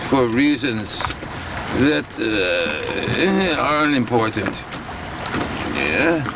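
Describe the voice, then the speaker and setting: A man voicing an immigrant recounting a run-in with police, halting and deadpan with many fillers, recorded aboard a boat. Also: medium pitch, heavily acted. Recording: boat noise behind.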